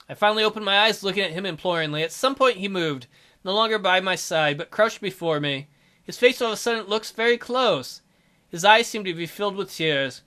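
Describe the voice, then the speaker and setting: A man's voice: sits high, expressive, fairly quick, pushed and bright, clean tone. A man reading visual novel narration aloud as a dramatic let's play narrator, with music behind him.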